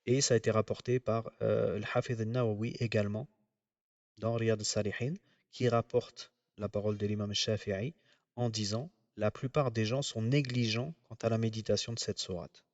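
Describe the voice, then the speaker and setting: Male religious teacher, lowish voice, steady explanatory lecture, dry sound, a bit off-mic. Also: dry recording, far from the mic, dull audio. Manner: measured, explanatory, pauses often.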